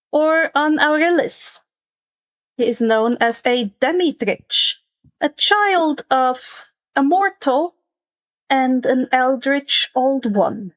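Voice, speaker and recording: Female game master, high voice, unhurried narration of a fantasy tabletop game.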